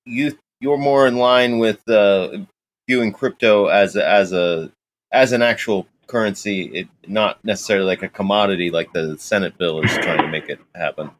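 A man's voice: on the low side, normal speed, a little hiss or hum, low-quality recording. A man co-hosting a podcast, asking questions tentatively as he feels his way through them. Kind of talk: casual interview chat.

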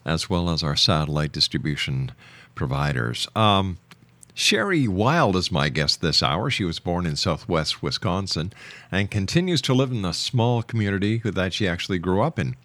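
A man's voice: sits low, very animated, moderate pace, a bit bright, no echo. A man speaking as a radio show host, introducing and interviewing in a broadcast style.

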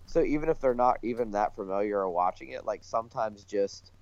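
A man's voice: lowish voice, brisk, a little mellow, no echo, highs cut off. A man co-hosting a podcast in casual, enthusiastic chat. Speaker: podcast co-host.

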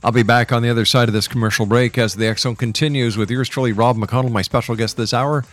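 A man's voice: mid-range voice, steady tone, fast talker. Guy doing radio host announcing, punchy showman phrasing, music under him.

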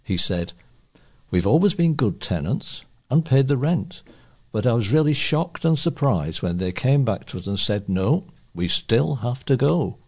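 A man with a husky voice reading local newspaper articles aloud as a volunteer reader. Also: medium pitch, big pitch swings, a little slow, darkish tone.

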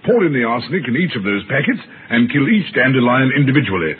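Actor as pompous colonel on noisy old radio. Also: male voice, medium pitch, very animated, quick pace, natural voice. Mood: smooth, evasive. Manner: pompous, indignant. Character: proud military colonel cornered as murder suspect. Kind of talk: old-time radio drama acting. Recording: noisy, phone-like vintage radio recording.

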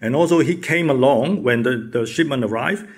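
A man with a husky, slightly rough voice describes his mission work in a mid-range pitch. He speaks earnestly, pausing often and restarting his sentences. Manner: halting, many fillers, earnest. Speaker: missionary presenter. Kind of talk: church mission presentation.

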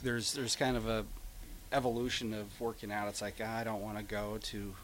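A middle-aged man talking casually and reflectively as an interview guest, halting and repeating himself as he goes. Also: on the low side, level tone, a bit bright, a little hiss or hum.

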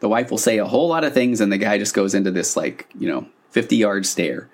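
A man with a fairly low voice speaks as a solo podcast host. He explains in an informal, conversational way, with the animated delivery of a therapist talking through examples on a studio-style mic. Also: fairly quick. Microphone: podcast microphone on a stand.

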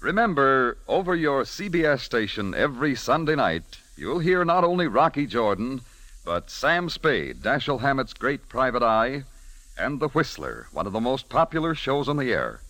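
Bright, forceful American radio announcer man. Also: mid-range voice, big pitch swings, moderate pace, no echo.

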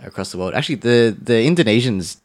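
A man's voice: mid-range voice, animated, fast. A man co-hosting a podcast, rambling casually with many "like"s and restarts. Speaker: podcast co-host.

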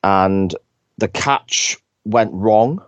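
Croaky man hosting a podcast. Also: fairly low pitch, drawn-out words, no room sound. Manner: rambling, self-correcting. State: sore throat, croaky. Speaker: podcast host. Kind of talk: casual podcast chat.

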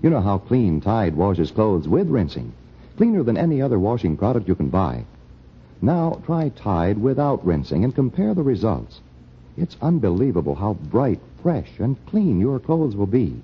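A male announcer gives an emphatic old-time radio sales pitch. His voice is lowish and very husky, swinging widely for emphasis. The recording is dull and muffled. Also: very animated, moderate pace. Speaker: commercial announcer. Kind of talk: vintage radio advertising pitch.

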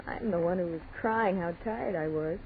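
An actress playing a brisk, skeptical young college student with frayed nerves in a 1940s radio horror drama. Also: female voice, fairly low pitch, close to an everyday voice. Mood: weary. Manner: emphatic, dismissive, rattled. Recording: noisy, tinny 1940s radio broadcast.

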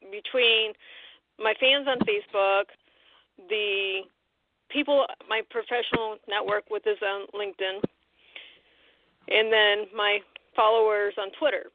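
A woman hosting an interview in casual chat, friendly, at times pausing to search for words. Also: fairly high pitch, dry recording. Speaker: host. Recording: dry tinny phone line.

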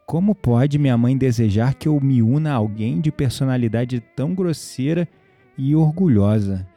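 Actor as inquisitive young Brazilian male companion, very dark warm tone, mid pitch, audio drama, soft music underneath. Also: animated, normal speed, close to an everyday voice. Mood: solemn reading. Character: intrigued, gently teasing male companion.

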